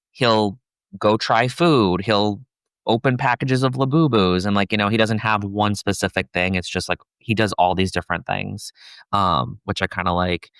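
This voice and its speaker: A soft-spoken young man talking casually as a guest, his low voice smooth and clear. He keeps a fairly even tone, dotting his speech with "like" and "you know." The sound is close and dry. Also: no echo. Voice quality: soft, somewhat feminine voice. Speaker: podcast guest, content creator. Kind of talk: casual conversational interview.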